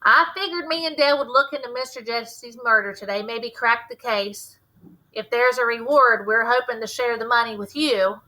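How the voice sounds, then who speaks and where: A woman's voice: fairly high pitch, normal speed, pushed and bright, low-quality recording. A woman reading a storybook as a plucky, cheeky Southern girl who fancies herself a detective. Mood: eager.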